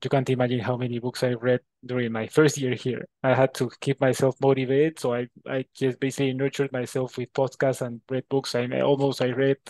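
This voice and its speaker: A man from Peru with a Peruvian Spanish accent, a native Spanish speaker answering interview questions in a halting way, often repeating words. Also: mid-range voice, flat delivery, darkish tone, dry recording, rough recording. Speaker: interview guest.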